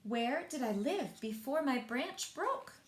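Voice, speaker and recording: Lady doing a little stick kid from a picture book, eager n wistful, bouncy high voice. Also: lively intonation, takes it slowly, echoey room, slight background noise. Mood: wistful, searching. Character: eager, wistful young twig searching for family.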